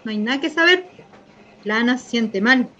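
A woman playing a defensive, irritable young Chilean woman with a sharp tongue in a naturalistic radio drama. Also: fairly high pitch, animated, normal speed, dull audio. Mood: annoyed. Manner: curt, irritable.

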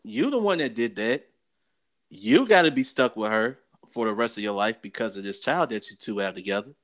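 A man's voice: lowish voice, expressive, brisk, fairly bright tone. An American man co-hosting a casual sports talk show, giving opinions in an emphatic, colloquial way and repeating phrases for effect.

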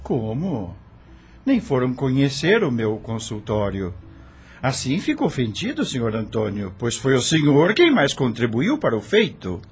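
Man acting flattering young gentleman doctor, very raspy voice, animated intonation, formal, insistent, period radio drama. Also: mid-range voice, unhurried, full character voice. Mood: courtly, insistent. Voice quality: husky. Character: gallant, insistent, overly courteous young doctor.

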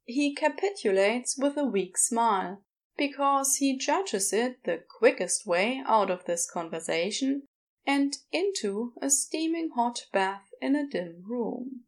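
A woman's voice: fairly high pitch, lively intonation, slow. A woman narrating a scripted story in an audiobook style.

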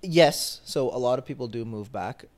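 A young man with an American accent, chatting as a podcast guest, quick and thinking aloud with restarts. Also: medium pitch. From grew up between the Bay Area and India. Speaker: podcast guest, startup engineer. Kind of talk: casual interview chat.

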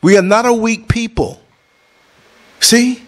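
A middle-aged man from New City, talking casually over concert footage in an emphatic, preachy way, swinging his voice up and down. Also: slow pace. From New York City. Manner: emphatic, preachy, jumps between high and low tones. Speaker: vlogger and podcast host.

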